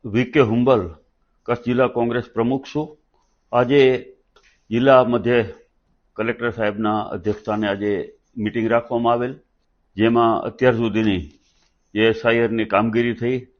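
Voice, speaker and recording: A man, a local party leader making an unscripted, halting statement with repeated false starts. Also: mid-range voice, highs cut off. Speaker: district political party president.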